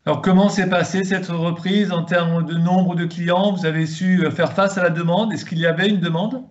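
A man's voice: high voice, steady tone, normal speed. A man in his sixties asking formal, prepared interview questions over a video call. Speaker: interviewer, host. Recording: dull, lo-fi video call audio.

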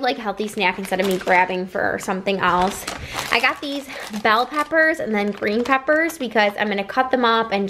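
A woman's voice: a little high, a bit bright, a little hiss or hum. A young woman in her twenties casually chatting to viewers in a home kitchen, filling her talk with "like" as she shows things off to a handheld camera. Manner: chatty, frequent "like" fillers, addresses viewers directly. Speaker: lifestyle vlogger. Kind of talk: casual haul vlog.

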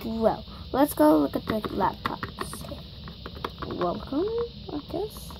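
A woman's voice: sits high, big pitch swings, takes it slowly, creaky, heavy background noise. A girl improvising a toy shopping scene, chatty and bossy as she runs the story. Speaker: toy video maker. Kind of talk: improvised toy play-acting.

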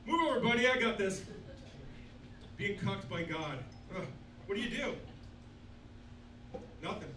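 A man's voice: high voice, lively intonation, unhurried, long pauses, sharp tone. Crude sixty-year-old man doing stand-up. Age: 60s. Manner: crude, self-deprecating punchlines. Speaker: stand-up comedian. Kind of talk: stand-up comedy set. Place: small club stage. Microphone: handheld mic, filmed from far back. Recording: echoey club, distant camera, noisy.

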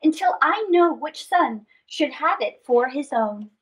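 A woman voicing an earnest, awed father spider from a folk tale for children, with drawn-out delivery. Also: high-pitched, animated, low-quality recording. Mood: pleading.

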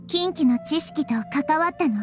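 A woman voicing a small, wise and gentle girl deity in a video game, speaking softly and with composure. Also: sits high, normal speed, over music, close to an everyday voice.

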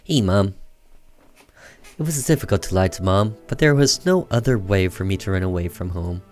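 A man's voice: low-pitched, normal speed, a little mellow, faint music behind. A man doing casual gameplay commentary and reading on-screen story text aloud. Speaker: gamer doing a let's play, reading game text aloud.

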